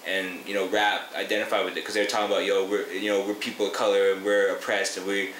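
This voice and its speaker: A man's voice: deep voice, flat delivery, fast talker, a bit bright. A man in his twenties who grew up in Canada, speaking earnestly as a rapper in an interview. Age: 20s. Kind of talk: casual interview talk. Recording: echoey room, faint noise.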